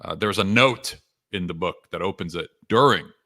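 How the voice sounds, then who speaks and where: A man's voice: deep voice, moderate pace, gravelly, bright, forceful tone. A man working as a sales coach, giving a conversational live talk to viewers in punchy short phrases with frequent rhetorical questions. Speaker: sales coach and author hosting a live webinar.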